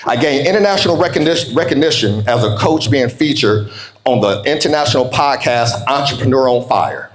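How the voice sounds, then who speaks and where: An American man in his forties giving a motivational keynote, telling stories emphatically with dramatic pauses and colloquial call-outs to his audience. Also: a little high, echoey room, low-quality recording. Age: 40s. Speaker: keynote speaker, business coach.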